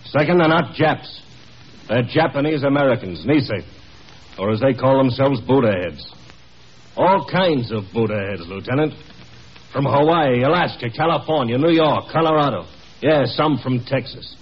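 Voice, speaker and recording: Husky, stern army colonel. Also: male voice, mid-range voice, animated, moderate pace. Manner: curt, authoritative. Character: stern, no-nonsense male army colonel, brisk and commanding. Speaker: actor in a radio drama. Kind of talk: 1950s radio drama acting. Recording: muffled old 1950s radio broadcast.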